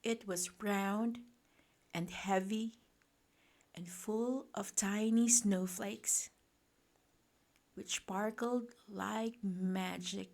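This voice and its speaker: A woman reading a children's bedtime picture book aloud in a gentle, expressive, deliberate manner. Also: mid-range voice. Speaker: storyteller.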